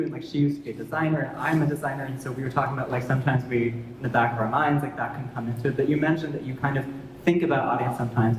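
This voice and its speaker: This man talks quickly and rambles through his question, leaning hard on "like" as filler. His voice rings in a large, reverberant hall. Clear background noise carries through the recording. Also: medium pitch. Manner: rambling and filler-heavy, saying "like" often. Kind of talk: casual panel conversation.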